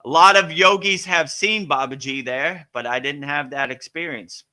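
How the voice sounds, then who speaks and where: A man with a very bright, forward voice sits at the center of a spiritual question-and-answer stream. His pitch rides a little high for a man. He answers in a loose, meandering way, full of "you know" and "like.". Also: moderate pace. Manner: relaxed, rambling, many fillers. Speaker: spiritual teacher hosting a live Q&A. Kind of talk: casual spiritual Q&A.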